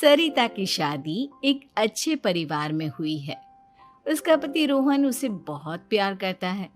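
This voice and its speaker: Slow female storyteller over soft music. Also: medium pitch, big pitch swings. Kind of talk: scripted storytelling narration.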